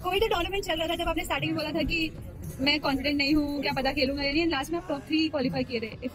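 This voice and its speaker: A woman's voice: sits high, fairly even intonation, racing. A young woman gaming vlogger chatting casually and teasingly with guests at an event, filmed on a phone at arm's length. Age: young adult. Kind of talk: casual vlog chat. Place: busy event venue. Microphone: phone camera held at arm's length. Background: loud music and crowd.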